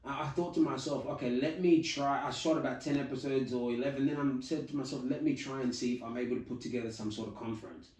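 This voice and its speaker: Animated man telling his story, echoey room. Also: mid-range voice, fast talker, hardly any pauses, distant mic. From Australia. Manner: animated storyteller, quotes his own thoughts, frequent "you know". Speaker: podcast guest, community founder. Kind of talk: casual interview chat.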